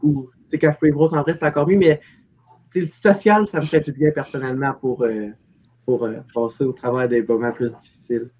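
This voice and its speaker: A young man with a Québécois French accent, talking informally and haltingly in a casual group discussion. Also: medium pitch, steady tone, darkish tone, husky. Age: young adult. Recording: dull, faintly noisy video call.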